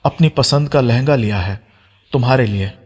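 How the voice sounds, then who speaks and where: A man's voice: medium pitch, normal speed, a little mellow, husky, muffled recording, close to an everyday voice. A man voicing an angry, threatening young man in a romance audio story, with a commanding manner. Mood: possessive.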